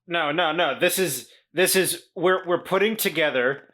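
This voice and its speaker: A man chatting as a player in a tabletop game, excitable and tripping over his words. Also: a little high, moderate pace. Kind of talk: casual chat among players.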